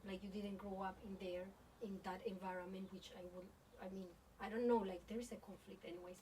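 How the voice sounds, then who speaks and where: A woman's voice: mid-range voice, little pitch movement, a little fast, warm tone, far from the mic, poor audio. A woman podcast host talks introspectively, feeling her way through phrases. Her voice sits in the middle range, a little away from the microphone, with faint background noise. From born in Colombia, grew up in Spain. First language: Spanish. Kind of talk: reflective interview conversation.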